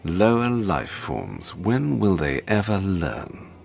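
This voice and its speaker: An actor playing a stuffy gentleman with hidden menace in a video game, formal and furtive, with a creaky voice. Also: deep voice, big pitch swings, extremely slow, exaggerated voice. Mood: gloating. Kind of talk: video game cutscene acting.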